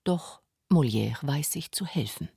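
A man's voice: mid-range voice, expressive, measured pace, gravelly, dark tone, husky, dry recording, clean studio sound. A man narrating a scripted radio documentary. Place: dry studio.

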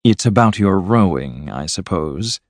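A man voicing a sensible, loyal river rat from a storybook in a measured, serious manner. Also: sits low, animated, no room sound. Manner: measured, serious, matter-of-fact. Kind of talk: storybook character voice.

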